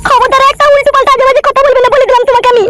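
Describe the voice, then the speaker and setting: A woman voicing a sharp-tongued, defiant village wife in a comedy cartoon, combative and foul-mouthed. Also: flat delivery, racing, no room sound. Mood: furious. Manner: shrill, nagging, combative. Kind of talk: amateur comedy cartoon dialogue.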